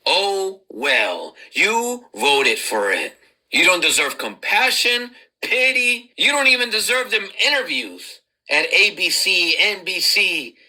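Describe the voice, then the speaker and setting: A woman's voice: fairly low pitch, very animated, slow, bright, forceful tone, poor audio. A woman giving a mocking commentary to camera, laughing and jeering as she talks. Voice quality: mocking, laughs while talking. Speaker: video commentator. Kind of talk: mocking monologue.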